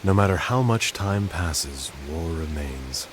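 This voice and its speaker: A man voicing a grave, world-weary gentleman in a video game, measured and solemn. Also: low-pitched, lively intonation, a little hiss or hum. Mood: solemn, reflective.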